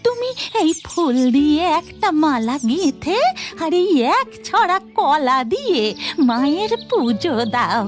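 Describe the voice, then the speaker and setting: A woman voicing a kindly, devout village mother-in-law who speaks gently in a cartoon. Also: high-pitched, very animated, slow pace, soft background music, heavily acted.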